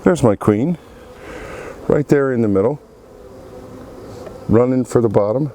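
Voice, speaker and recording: Man, froggy congested voice, mid pitch, slow outdoor commentary, faint background hiss. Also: warm tone. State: congested from a cold. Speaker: beekeeper. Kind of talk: casual hive inspection commentary. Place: outdoor apiary. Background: bees buzzing.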